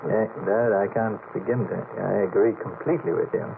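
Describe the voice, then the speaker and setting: A man's voice: fairly low pitch, steady tone, a little fast, vocal fry. A man taking part informally in a radio panel discussion as a magazine's representative. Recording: noisy, phone-like old radio broadcast.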